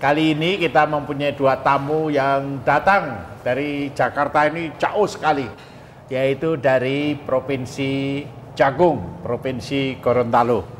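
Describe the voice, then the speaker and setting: A male talk show host with a mid-pitched voice. He speaks conversationally and prompts with short questions, in a long-echoing hall with some noise behind him. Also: fairly even intonation, unhurried. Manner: prompting, frequent backchannels. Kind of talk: broadcast interview, conversational. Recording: reverberant hall, noticeable background noise.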